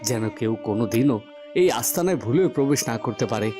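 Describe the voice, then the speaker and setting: Man playing a resolute, declamatory young prince, medium pitch. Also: expressive, quick pace, music in the background, close to an everyday voice. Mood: vengeful. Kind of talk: melodramatic cartoon voice acting.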